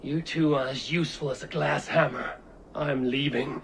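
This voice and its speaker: A man playing a gruff, impatient Viking raider in a video game, blunt and scornful of fools. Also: a little high, steady tone, moderate pace, background noise.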